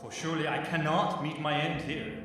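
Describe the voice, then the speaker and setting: A man's voice: on the high side, steady tone, normal speed, over music, close to an everyday voice. An actor playing a bold sailor who tells his tale in a theatrical, formal storybook manner for a radio drama. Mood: desperate. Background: musical score.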